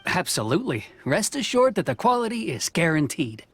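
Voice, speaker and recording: An actor playing a modest, earnest middle-aged boatbuilder who speaks politely and reassuringly. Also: male voice, high voice, very animated, a little fast, a bit bright, a little hiss or hum, exaggerated voice.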